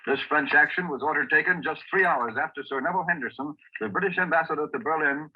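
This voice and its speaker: A man reading a formal, clipped news bulletin on a vintage 1930s radio recording. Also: fairly high pitch. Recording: tinny, phone-like 1939 radio archive recording.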